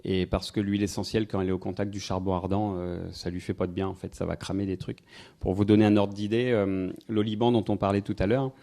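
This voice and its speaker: Hesitant man with a dark, low voice. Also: flat delivery, fast, creaky. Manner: rambling, hesitant, many fillers, wry asides. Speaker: speaker giving a talk on incense history. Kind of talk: informal public talk to a live audience. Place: festival evening event, indoors.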